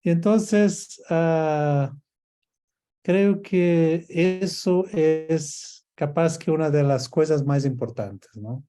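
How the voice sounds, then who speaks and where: A native Portuguese-speaking man with a Portuguese accent and Rioplatense traces, giving a reflective personal talk in a halting, thoughtful way. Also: on the high side, dark tone, clear, resonant tone. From Portuguese-speaking Africa. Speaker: guest speaker, coach. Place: at home, by bookshelves, on a video call.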